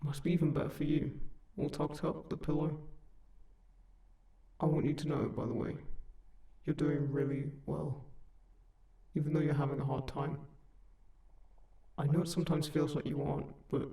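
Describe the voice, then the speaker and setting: Gravelly, gentle demon played by a man. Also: on the high side, little pitch movement. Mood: soothing, encouraging. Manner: gentle, soothing, reassuring, unhurried. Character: kind, comforting male sleep paralysis demon. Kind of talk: intimate comfort audio roleplay. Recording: roomy, echoing, slightly distant voice.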